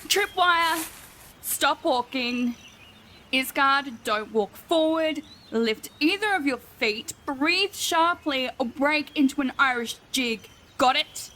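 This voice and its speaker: A woman's voice: high-pitched, animated, slow, sharp tone, slight background noise, exaggerated voice. A woman playing an exasperated, sarcastic fantasy rogue who scolds her companions in a comic audio drama. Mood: focused, commanding.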